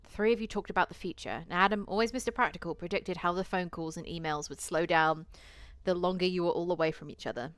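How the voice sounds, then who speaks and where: A woman's voice: on the low side, quick pace, few pauses, a bit bright, clean tone, no room sound. A woman game streamer reading a visual novel aloud and chatting to viewers, with casual swearing and playful asides. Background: eerie game music.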